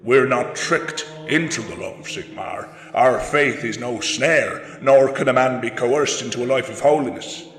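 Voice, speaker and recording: A man delivers dramatic fantasy narration in a mid-pitched, bright voice. His phrasing is solemn and deliberate, and the room around him echoes. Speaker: voice actor and narrator.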